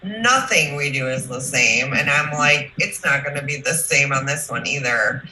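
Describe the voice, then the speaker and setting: A woman's voice: very deep voice, normal speed, pushed and bright. A woman hosting a casual chat over a video call, speaking emphatically and effusively. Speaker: show host. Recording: echoey, noisy video call.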